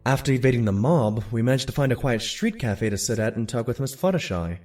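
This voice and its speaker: A man's voice: mid-range voice, animated, brisk, darkish tone, faint music behind, natural voice. A man playing an earnest, polite pony doctor and detective's assistant in a cartoon audio drama, articulate and courteous. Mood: composed.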